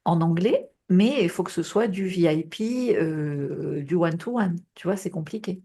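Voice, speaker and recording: A woman coaching on a group call in a relaxed, chatty way, heavy with fillers and check-ins, her voice a bit worn with coughing. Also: lowish voice, measured pace. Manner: casual, advising, many fillers and tu vois. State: coughing, voice tiring. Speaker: business coach.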